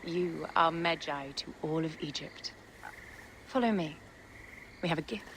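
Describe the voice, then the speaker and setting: Imperious egyptian queen, composed game cutscene voice on the low side, noisy ambience behind. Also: measured pace. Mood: commanding. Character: regal, calculating young Egyptian queen, commanding. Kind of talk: video game cutscene acting.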